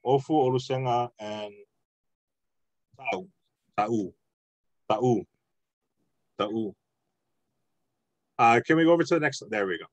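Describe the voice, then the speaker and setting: A man teaching an introductory Samoan language lesson online, explaining at a relaxed pace with frequent ums and uhs. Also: medium pitch, slow, long pauses. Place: home, online video call.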